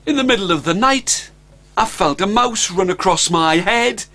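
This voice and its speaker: A man's voice: sits high, expressive, normal speed, pushed and bright, voice pushed up, full character voice. A man voicing a crafty, small hero who bluffs and boasts to fool dragons. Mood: boastful.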